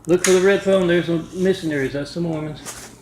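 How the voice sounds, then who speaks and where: A man's voice: fairly high pitch, fairly even intonation, brisk, darkish tone, echoey room, slight background noise, poor audio. A man vlogging in a rambling monologue to the camera, restarting often, recorded on a camera mic inside an apartment. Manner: rambling, restarts often, reads out numbers and addresses. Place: indoor apartment with a kitchen.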